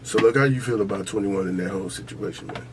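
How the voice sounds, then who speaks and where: A man's voice: fairly low pitch, fast talker, background noise. A man hosting a casual podcast, arguing in a brash, opinionated, profane way. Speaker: podcast host. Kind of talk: casual, heated sports and culture debate.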